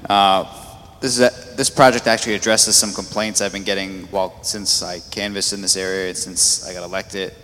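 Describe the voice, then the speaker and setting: A man speaking as a city councilman at a public meeting, halting with fillers and restarts. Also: sits low, level tone, a bit bright. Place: council chamber. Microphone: meeting microphone. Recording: echoey chamber, faint noise.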